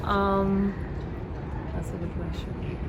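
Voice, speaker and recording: A woman talks loosely and chattily, leaning on "like" and "um" as she answers. Her voice sits at a middling pitch for a woman. Street traffic is clearly audible behind her in an outdoor urban setting. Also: flat delivery, slow pace. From India. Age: 20s. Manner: chatty, loose, many "like" and "um" fillers. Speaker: interviewee, university student. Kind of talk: casual interview answers.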